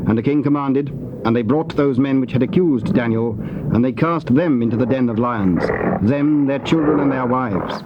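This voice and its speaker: A British actor narrating biblical events as a grave storyteller, formal and measured. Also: male voice, medium pitch, lightly acted. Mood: solemn. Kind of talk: scripted scripture narration. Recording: dull, noisy old cassette tape.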